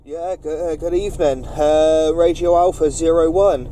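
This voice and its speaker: High-pitched mellow man on CB radio. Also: steady tone, moderate pace, slight background noise. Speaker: CB radio operator running a net. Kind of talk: informal CB radio chat with procedural jargon.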